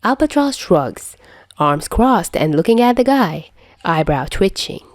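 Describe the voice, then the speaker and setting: A woman's voice: fairly low pitch, very animated, unhurried, no room sound, studio quality. A woman reading a scripted story as an amateur audiobook narrator. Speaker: amateur fan fiction narrator.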